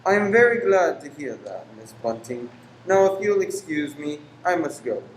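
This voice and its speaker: An actor in a radio play voicing a sinister, pious lodger with a formal, stiff and courteous way of speaking. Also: male voice, a little high, sing-song, normal speed. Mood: ominous. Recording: roomy echo, faint noise.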